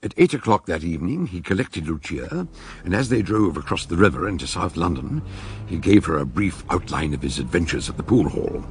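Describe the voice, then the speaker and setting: A man's voice: low voice, expressive, brisk, a little hiss or hum. A man narrating a scripted detective story in a dramatic style.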